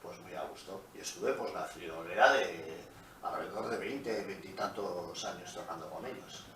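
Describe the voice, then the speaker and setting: A middle-aged man with a slightly low voice recounts his life as a musician in a relaxed, storytelling manner. His phrases tend to trail off quietly at the end. He sounds distant from the mic in a very echoey room with faint background noise. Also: moderate pace. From Pamplona, Spain. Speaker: guest musician. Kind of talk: relaxed interview answers.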